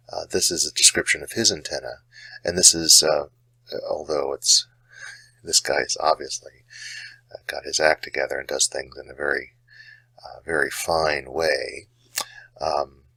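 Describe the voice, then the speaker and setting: Male hobby host, extremely low-pitched with a bright edge, gravelly, casual and halting in his explaining. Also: no room sound. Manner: halting, hesitant delivery with frequent pauses and trailing phrases.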